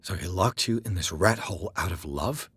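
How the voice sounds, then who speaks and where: A man acting as a determined, gruff detective in a video game, with a terse, urgent, questioning manner. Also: low-pitched, animated, normal speed, fairly bright tone, full character voice. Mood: urgent, insistent. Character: gruff, determined male detective protagonist on a rescue.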